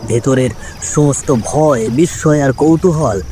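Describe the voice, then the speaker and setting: A man's voice: fairly high pitch, a little slow, dark tone, faint music behind. A man narrating a scripted ghost story in a dramatic storytelling style.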